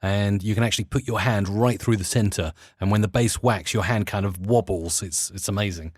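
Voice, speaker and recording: A man with a fairly low voice talks as a guest in a relaxed interview. He explains things with enthusiasm, feeling his way through the wording. The recording is dry and close. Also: fairly quick, slightly rough, no echo. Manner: enthusiastic, hesitant while explaining. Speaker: interview guest, audio producer. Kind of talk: casual explanatory interview talk.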